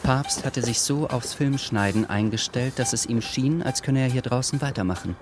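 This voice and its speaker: A man narrating a literary radio play as a sober, reflective storyteller, in a measured manner. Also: mid-range voice, noisy background, natural voice. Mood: measured, grave. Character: sober, reflective male storyteller of a wartime novel.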